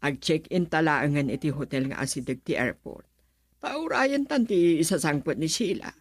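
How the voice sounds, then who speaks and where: Husky older mother, Ilocano Filipino accent, practical, radio drama, dry close recording. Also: deep voice, lively intonation, moderate pace, no room sound, exaggerated voice. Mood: practical, insistent.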